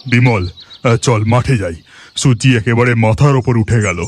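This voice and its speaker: A man voicing an elder brother, a village farmer in a cartoon, exclaiming in an animated way over music. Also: medium pitch, moderate pace, natural voice. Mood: casual. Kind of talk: Bengali cartoon story acting. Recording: music behind the voice.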